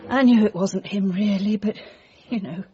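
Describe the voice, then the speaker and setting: A woman's voice: medium pitch, moderate pace, mellow, natural voice. An actress playing an older widowed mother, anxious and down-to-earth, in naturalistic radio drama dialogue. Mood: sad, subdued. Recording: muffled, faint background noise.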